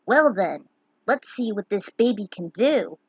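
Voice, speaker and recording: Young aspiring sky pirate, male, gentle and wistful, storybook reading, played by a woman. Dry sound. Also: medium pitch, animated, unhurried, slightly rough, dry recording. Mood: reassuring, eager.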